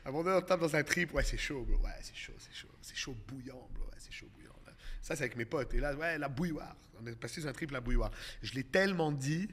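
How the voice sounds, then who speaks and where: A man's voice: medium pitch, fast, few pauses, slight background noise. A man in his thirties with a Québécois accent, telling stories in a casual podcast chat and dropping English words. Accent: Québécois French. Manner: animated storytelling, mixes English words. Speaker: comedian guest.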